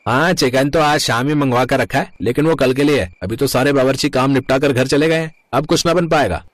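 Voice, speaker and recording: A man's voice: mid-range voice, a little fast, no echo, close to an everyday voice. A man voicing a greedy, bossy roadside eatery owner with a blunt manner in a moral story cartoon. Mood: dismissive. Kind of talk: animated moral story dialogue.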